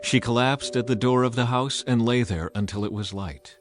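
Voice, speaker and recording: A man narrates a dramatized scripture audiobook at a middling male pitch. His storytelling is measured and dramatic, swelling for emphasis, and music plays beneath him. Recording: music bed under the voice.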